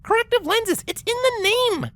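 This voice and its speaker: A woman's voice: extremely high pitch, lively intonation, normal speed, fairly bright tone. A woman voicing a prim, bookish young pony princess in a fan fiction reading, precise and lecturing, patient but exasperated. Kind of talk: character acting in a fan fiction audio reading.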